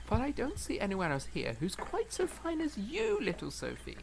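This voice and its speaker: A woman playing a playful, teasing young gentleman composer in a period audio drama, with flowery, courtly speech. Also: mid-range voice, huge pitch swings, normal speed, gravelly, slight background noise. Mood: playful, confiding.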